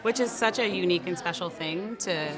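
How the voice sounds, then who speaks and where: A young woman interviewer in a bright studio room, chatty and affirming, with frequent fillers. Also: on the low side, brisk. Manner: chatty, affirming, lots of "like" and "right". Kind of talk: casual interview chat. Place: bright studio room with windows, people moving behind. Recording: crowd chatter in background.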